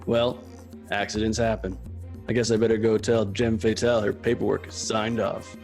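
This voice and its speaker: A man playing a deadpan, gullible detective with a clipped manner in a comedy noir radio play. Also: on the low side, normal speed, close to an everyday voice. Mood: earnest. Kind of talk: radio drama comedy acting. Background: music.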